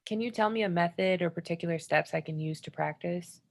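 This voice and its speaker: A woman's voice: on the low side, brisk, creaky. A woman reading one part of a written book dialogue aloud. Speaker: reader of a book chapter.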